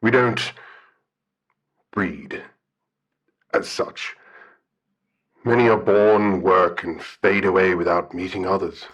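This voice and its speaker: An actor playing a solemn, shy, bear-like guardian spirit in an audio drama, speaking formally and hesitantly. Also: male voice, low voice, steady tone, slow, long pauses, fairly bright tone, breathy edge, highs cut off, heavily acted. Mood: formal, reserved. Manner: formal, hesitant, articulate speech. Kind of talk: audio drama radio call-in acting.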